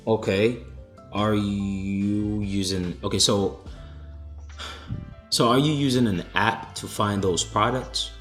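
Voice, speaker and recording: Low-voiced man explaining to a caller. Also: a bit bright, reverberant, soft background music. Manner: explanatory, measured, with restarts. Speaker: host answering callers. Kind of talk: conference call advice.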